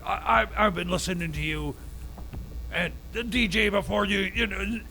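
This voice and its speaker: A man's voice: high voice, moderate pace, vocal fry, sharp tone, a little hiss or hum, full character voice. A man playing a blustering Christmas zealot. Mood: indignant. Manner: indignant, preachy, stammering restarts. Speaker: regular guest character. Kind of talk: improvised radio comedy bit. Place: community radio studio.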